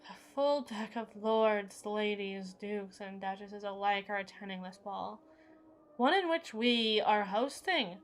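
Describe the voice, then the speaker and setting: A woman's voice: mid-range voice, unhurried, a little hiss or hum, lightly acted. A woman playing an unstable, brooding evil queen in an intense, theatrical audio roleplay, speaking in formal, dramatic monologue. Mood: grim, commanding. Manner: theatrical, formal, intense, swinging between hushed and forceful.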